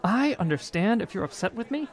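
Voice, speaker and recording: A man's voice: high voice, very animated, fairly quick. An actor playing an earnest, idealistic man who preaches peace in an audio drama. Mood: reasoning. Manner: earnest, pleading. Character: earnest, idealistic male pacifist preacher from space.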